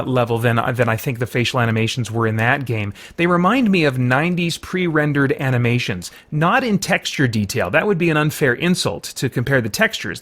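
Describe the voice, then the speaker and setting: A man's voice: medium pitch, fairly bright tone. A man giving a conversational game review, thinking aloud and correcting himself as he qualifies his points. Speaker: reviewer and host. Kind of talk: video game review, conversational semi-scripted commentary.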